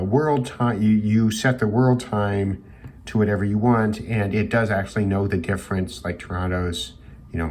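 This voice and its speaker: A man giving an informal, thoughtful product review in a measured way with hesitant pauses. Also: low voice. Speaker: radio hobbyist reviewer. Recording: clearly noisy background hiss.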